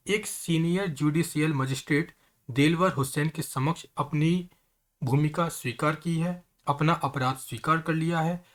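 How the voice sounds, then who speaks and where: Earnest higher-voiced man explaining news. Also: a bit bright. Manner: earnest, repeatedly addresses viewers as friends. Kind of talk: informal news explainer addressing viewers.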